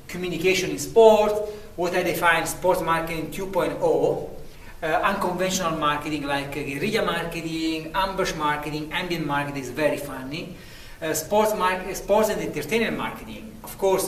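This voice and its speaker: A man with a thick Italian accent gives an earnest, self-promoting sample lecture, often restarting his phrases. His voice sits a bit high. The recording is roomy and echoey, picked up from some distance in a university room. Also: slight background noise. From Italy. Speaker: lecturer applicant.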